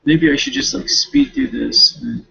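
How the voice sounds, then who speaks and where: A man giving a casual, rambling recap as a student, talking half to himself in informal, slangy, halting phrases with self-corrections on a dull home recording. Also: fairly high pitch, huge pitch swings, vocal fry, bright, forceful tone, slightly rough. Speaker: student reading a novel for a class paper.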